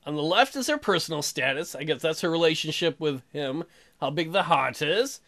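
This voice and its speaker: A man's voice: fairly high pitch, expressive, normal speed, fairly bright tone. A man hosting a let's play, reading game text aloud and tossing in irreverent, jokey ad-libs.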